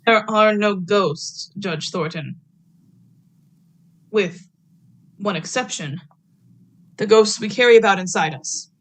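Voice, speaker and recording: A young woman playing a measured, clinical doctor who probes and accuses in a vintage radio drama. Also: medium pitch. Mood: clinical, probing. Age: young adult. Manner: deliberate, clinical.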